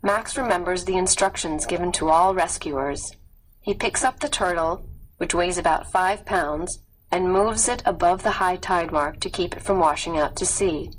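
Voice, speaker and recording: A woman with a slightly low voice narrates a nonfiction story in a measured, expressive read-aloud style. The recording carries faint background noise. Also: low-quality recording. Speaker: audiobook narrator.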